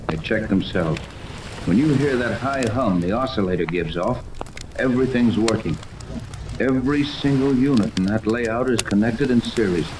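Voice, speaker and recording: An actor playing a steady, fatherly middle-aged sea captain in a 1930s radio serial. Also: lowish voice, moderate pace, lightly acted. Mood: resigned. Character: seasoned, steady male yacht captain and inventor, fatherly. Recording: noisy, echoey old radio recording.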